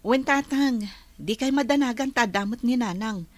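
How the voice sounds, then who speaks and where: A woman playing a dutiful, gentle young village woman, a little sister in an Ilocano radio drama. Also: a little high, moderate pace, lightly acted. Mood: respectful, worried.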